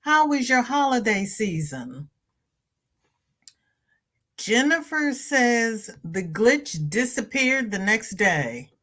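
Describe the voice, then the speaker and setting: A woman hosting a crochet livestream, chatting casually and reading viewer comments. She sounds stuffy-nosed and greets viewers by name with high, bright lifts in her voice. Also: big pitch swings, takes it slowly, long pauses. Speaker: crochet channel host.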